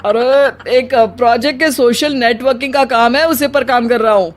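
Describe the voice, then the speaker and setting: A man's voice: very high voice, fairly even intonation, moderate pace. A male actor playing a flirtatious, vain and irritable retired husband in his fifties in a comedy family story, with theatrical exclamations. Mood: irritated. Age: middle-aged.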